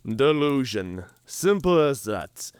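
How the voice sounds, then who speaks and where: Cunning, proud werewolf, theatrical and mocking, unhurried drawl, close dry sound, audio drama. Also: male voice, mid-range voice, sing-song, smooth, dry recording. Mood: mocking amusement. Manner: theatrical, drawling, chuckling delivery. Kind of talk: cinematic audio drama acting.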